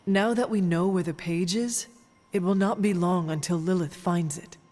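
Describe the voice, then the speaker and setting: A woman playing an earnest, solemn warrior heroine in a video game, speaking in a formal, measured way. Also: on the low side, a little mellow, in a large hall, lightly acted. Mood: thoughtful. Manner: formal, measured, avoids contractions. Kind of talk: video game character acting.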